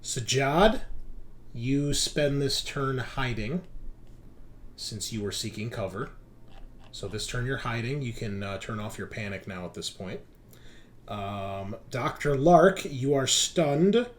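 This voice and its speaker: Slow-paced man running a tabletop game. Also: medium pitch, expressive, a little hiss or hum. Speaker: game master.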